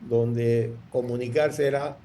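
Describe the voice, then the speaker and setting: A man's voice: mid-range voice, fairly even intonation, slow, dark tone, a little hiss or hum. A man, telling his story in an interview, rambling with many restarts. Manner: rambling, full of restarts and fillers. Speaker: guest entrepreneur.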